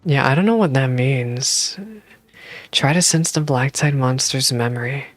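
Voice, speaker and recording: A woman's voice: a bass voice, unhurried, dry recording, soft background music. A woman streaming a game, casually reacting and reading the on-screen text aloud on a close microphone.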